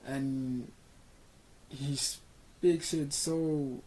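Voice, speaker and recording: A man reacts to a clip in unhurried, drawn-out remarks. His tone is relaxed and slangy, and his pitch sits mid-range. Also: rough recording. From South Africa. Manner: laid-back, slangy asides. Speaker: reaction video host. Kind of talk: reaction commentary.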